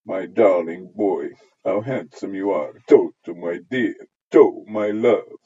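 A man voicing a shrill, fussing older neighborhood woman who gossips loudly. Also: measured pace, slightly rough. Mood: fawning. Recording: dull lo-fi audio.

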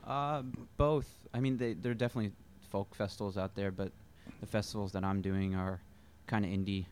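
A man from Pennsylvania, a musician guest, answering interview questions in a relaxed, halting way. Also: on the low side, slight background noise. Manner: relaxed, halting, many fillers.

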